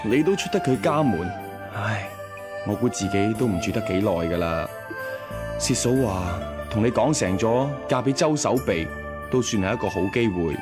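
男演員扮演為情所困嘅年輕女婿. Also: male voice, lowish voice, animated, moderate pace, music in the background, natural voice. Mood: pleading. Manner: sighing, entreating. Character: lovelorn, pleading young son-in-law. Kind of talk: Cantonese radio drama acting.

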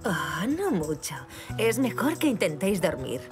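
A woman voicing a gentle, caring mother zebra in an animated children's series, soothing and motherly. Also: medium pitch, measured pace, music in the background.

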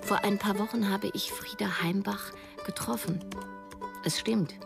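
An actress in her thirties playing a reflective writer who narrates a radio drama in a measured, literary way. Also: medium pitch, fairly even intonation, creaky, over loud music. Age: 30s. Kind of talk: scripted first-person radio drama narration.

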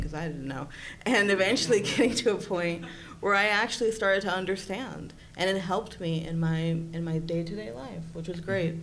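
A woman from Puerto Rico, Spanish her first language, explains things earnestly at a panel table. Her voice sits on the low side, and a faint background hiss from the conference room hangs under it. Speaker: panel speaker, psychology doctoral candidate. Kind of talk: convention panel talk. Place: hotel conference room with audience.

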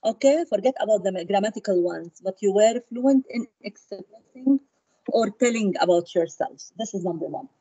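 Woman teaching online, mellow voice. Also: medium pitch, moderate pace, slightly rough, dry recording, poor audio. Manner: encouraging, mixes in Arabic. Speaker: English lecturer. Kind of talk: online class. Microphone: video call.

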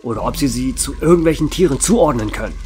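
A man's voice: mid-range voice, expressive, fast talker, a little mellow, faint music behind, close to an everyday voice. A man playing a commanding, sarcastic and impatient police chief in a German horror radio play. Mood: stern, sarcastic. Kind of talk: German horror audio drama acting.

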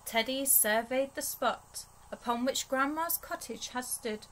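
A young woman reading a rhyming picture book aloud to small children in an expressive storytelling manner. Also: sits high, a little slow, a little hiss or hum, poor audio. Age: young adult. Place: small bedroom, indoors.

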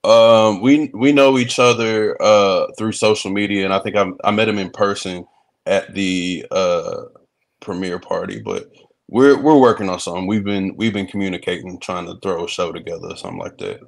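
A man from Texas, a comedian, chatting in a relaxed video-call interview, laughing easily and repeating words as he starts his sentences. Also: sits low, moderate pace, gravelly. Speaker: comedian and reality show guest. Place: indoor room.

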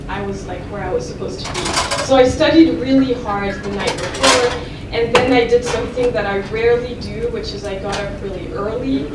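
A young woman from France, a native French speaker, talks through her lecture in a loose, self-deprecating way, full of ums and restarts. She is heard from across a roomy lecture hall, and the recording has clear background noise. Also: mid-range voice. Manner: chatty and self-deprecating, many fillers and restarts. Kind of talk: informal lecture at a podium. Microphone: podium microphone heard from a distance. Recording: echoey room from far off, noisy.

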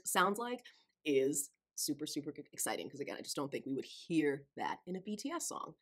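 A woman in her thirties hosting a music reaction channel, musing casually and thinking aloud with small restarts, recorded in a small room. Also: sits low. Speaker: K-pop reaction channel host. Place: small room with lit shelves of collectibles. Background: faint music video audio.

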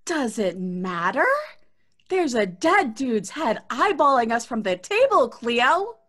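An actress playing a distraught, exasperated younger sister in a horror audio drama, emotional and protesting. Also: female voice, a little high, big pitch swings, slow pace, bright, forceful tone, exaggerated voice. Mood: upset.

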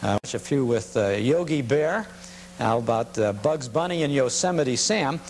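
An American man presents old clips informally, reminiscing in a halting way. His voice sits at a middling pitch, with a faint noisy hum behind it. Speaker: presenter of vintage broadcasting programme. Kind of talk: informal spoken introduction to film clips. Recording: steady hum and noise.

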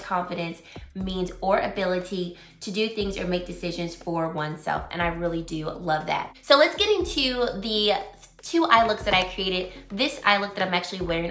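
A chatty, upbeat woman in her forties, her voice a touch raspy as it comes back. She sits a little low for a woman. A roomy echo hangs around her words in a small home room. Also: a bit bright. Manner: chatty and upbeat, detailed product talk. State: slightly raspy from losing her voice. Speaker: beauty vlogger. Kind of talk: casual makeup review.

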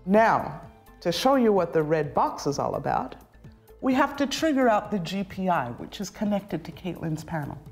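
A middle-aged woman giving scripted instructional narration as a tutorial presenter, enunciating clearly step by step. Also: lowish voice, sing-song, moderate pace, a little mellow. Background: background music.

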